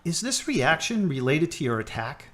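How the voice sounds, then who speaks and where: A man playing a gentle hospital chaplain. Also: a little high, sing-song. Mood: measured. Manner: gentle, measured preaching tone. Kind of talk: amateur radio drama acting.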